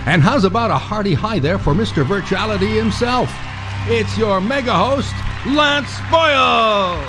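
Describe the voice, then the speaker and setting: A man's voice: sits high, animated, a little slow, over music. An actor playing a bombastic announcer introducing a game show in a video game, full of showman hype. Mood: hyped, grandiose.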